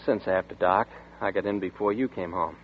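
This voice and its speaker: A man's voice: low-pitched, brisk, a little hiss or hum, lightly acted. A man playing a desperate, bitter man tricked into murder in a 1940s radio drama, talking tense and defiant. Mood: cagey. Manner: tense, bitter, defiant, then dazed narration. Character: desperate honest man turned murderer, seeking revenge. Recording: tinny old 1940s radio broadcast.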